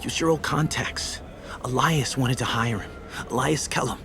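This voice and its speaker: An actor playing a frightened young street informant in a video game, jittery and stammering. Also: male voice, medium pitch, normal speed, background noise, natural voice. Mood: nervous, confessing.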